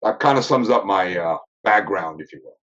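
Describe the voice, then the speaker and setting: Very deep-voiced man, measured. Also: expressive. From Ethiopia. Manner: measured, deliberate, often pausing mid-sentence. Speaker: sleep medicine physician guest. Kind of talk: interview answers. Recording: dull lo-fi recording.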